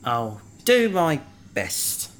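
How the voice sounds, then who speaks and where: Dazed male researcher played by a man: injured, childlike, extremely slow delivery with huge pitch swings, comic sci-fi drama. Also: big pitch swings, noisy background, exaggerated voice.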